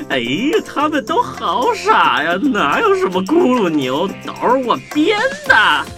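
A man's voice: extremely high pitch, huge pitch swings, takes it slowly, over music, heavily acted. A man playing a small, cunning, stammering mouse in a children's audio drama, with exaggerated delivery. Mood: gleeful, mocking. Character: small clever timid-seeming mouse, cunning bluffer.

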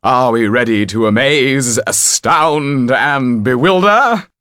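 A man voicing an exuberant, ambitious stage magician in a grandiose, excitable, declamatory way for a storybook. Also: lowish voice, measured pace, no room sound, studio quality, pitched above the performer's own voice.

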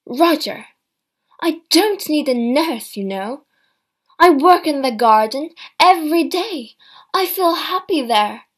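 A bitter, indignant mother of fifty lashes out at her grown children, loud and scolding, as a woman reading a storybook puts her on. The delivery is slow and deliberate, set fairly high. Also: lively intonation, gravelly, heavily acted. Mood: bitter outburst. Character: indignant fifty-year-old mother, angry about money.

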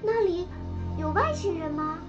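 A woman's voice: very high voice, animated, a little slow, dull audio, music in the background. An actress playing an innocent, curious little girl full of sweet wonder in a radio drama.

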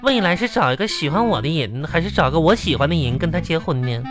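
Music plays behind a theatrical single woman with a Northeastern Mandarin accent. Her delivery is lively and swooping, folksy and full of self-mocking grumbles, in the manner of radio comedy. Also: low voice, quick pace. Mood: whiny, anxious. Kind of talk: live broadcast comedy skit, colloquial banter.

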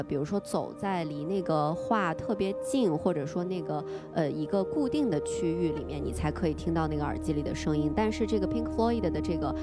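With music clearly playing behind her, a woman with a very low voice chats casually as a podcast co-host. Her delivery is conversational and openly enthusiastic.

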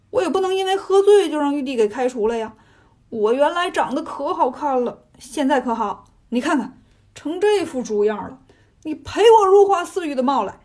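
A woman's voice: on the high side, darkish tone, husky. A woman voicing a comic male pig demon in a story, grumbling and indignant in a colloquial way.

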